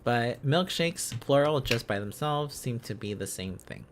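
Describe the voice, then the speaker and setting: A man chatting casually as a livestream host, musing aloud in halting, repeated phrases. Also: medium pitch, expressive, slight background noise. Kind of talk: casual livestream chat while learning a language.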